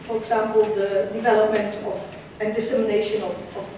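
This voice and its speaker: A woman with a Dutch accent giving a conference presentation in a large lecture hall, measured and hesitant, with frequent ums and restarts. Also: mid-range voice, flat delivery, background noise. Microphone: room camera far from the stage. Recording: echoey lecture hall from far off.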